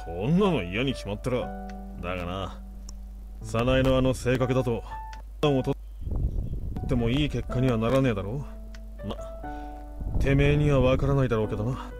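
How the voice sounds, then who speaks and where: Man voicing brash caring father, gruff blunt talk, rapid pace, visual novel, background music. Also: mid-range voice, close to an everyday voice. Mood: gruff, irritated. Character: brash, rough-talking but caring father. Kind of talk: Japanese visual novel voice acting. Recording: game audio with clear background music.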